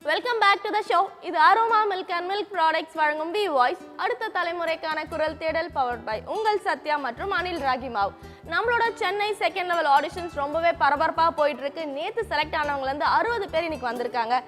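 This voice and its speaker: Female talent show host, very high pitch, upbeat delivery to camera, light music behind. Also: lively intonation, moderate pace. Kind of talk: upbeat TV presenting to viewers.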